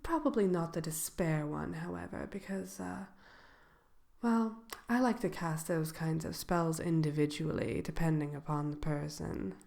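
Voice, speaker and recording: A woman playing a polite, slyly malicious wicked witch selling brooms in a soft, hesitant, unhurried ASMR roleplay. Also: sits low, gravelly. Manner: hesitant, unhurried, genteel shopkeeper patter. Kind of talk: ASMR roleplay, soft-spoken and partly whispered.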